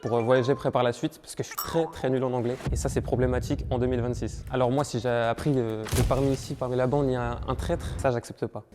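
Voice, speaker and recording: Fast, casual young man. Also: medium pitch, fairly even intonation, music in the background. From Paris, France. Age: 20s. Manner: casual, joking. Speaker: game contestant.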